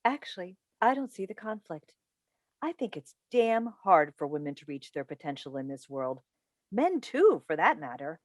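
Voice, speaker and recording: Woman acting a defensive, animated mother. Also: on the low side, no room sound. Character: defensive, exasperated middle-aged mother and talk show host. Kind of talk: staged play reading. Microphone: video call. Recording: video call.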